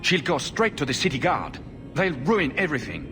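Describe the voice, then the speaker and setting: A man's voice: on the high side, sing-song, moderate pace, over music. A man acting a desperate, vengeful blood mage in a video game, intense, defensive and insistent. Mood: bitter, urgent. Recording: noisy, long-echoing hall.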